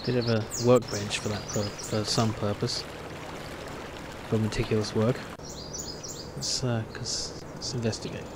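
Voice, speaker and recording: A man's voice: lowish voice, little pitch movement, measured pace, long pauses, very noisy. A man giving casual, hesitant let's play commentary, thinking aloud as he plays a game. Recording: game music behind the voice. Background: video game music.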